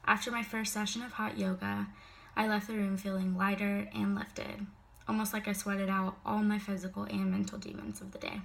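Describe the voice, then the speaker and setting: A woman's voice: mid-range voice, level tone, moderate pace, creaky. A young woman giving a prepared class speech, a little sick so her voice sounds slightly off, mostly recited with occasional casual fillers.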